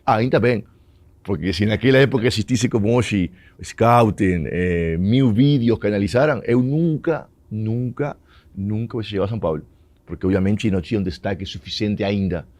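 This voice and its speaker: A man's voice: lowish voice, moderate pace, darkish tone. A Uruguayan man, a former footballer whose first language is Spanish, telling stories in an interview and mixing Portuguese with Spanish. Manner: mixes Portuguese and Spanish, laughs often. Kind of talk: storytelling interview.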